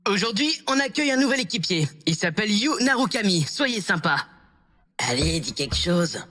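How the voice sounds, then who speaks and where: Eager, mid-pitched teenage team captain acted out. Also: sing-song, normal speed, bright, forceful tone. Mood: eager, pleading. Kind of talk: anime fan dub acting.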